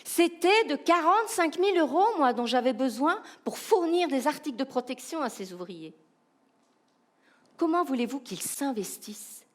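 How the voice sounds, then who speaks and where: Lively Belgian woman giving a keynote. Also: high voice, normal speed. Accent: Belgian French. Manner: animated, humorous, rhetorical questions to the audience. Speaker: keynote speaker, professional coach.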